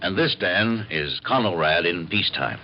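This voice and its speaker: An American man playing an authoritative explainer, formal and measured, in mid-century radio documentary style. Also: low voice, expressive, a little hiss or hum. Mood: formal, reassuring. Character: authoritative male explainer of civil defense procedures. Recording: muffled old radio recording, loud music.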